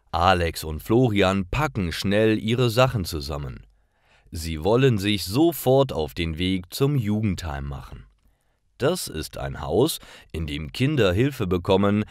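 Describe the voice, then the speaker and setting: A man reading scripted stories for language learners, phrasing each short sentence deliberately and enunciating clearly. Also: lowish voice, dry recording, studio quality. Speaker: narrator of German learning stories.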